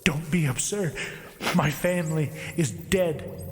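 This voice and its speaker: A man's voice: on the high side, slow, a little hiss or hum. A man playing a grieving, deranged scientist in an audio horror drama, theatrical and anguished. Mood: defiant, desperate. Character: grieving, deranged male scientist, defiant and obsessed. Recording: long reverb, music behind.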